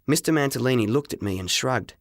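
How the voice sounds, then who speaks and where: Man, audiobook narrator, mid-pitched, dramatic first-person storytelling, expressive, dry recording. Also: fast talker, no echo.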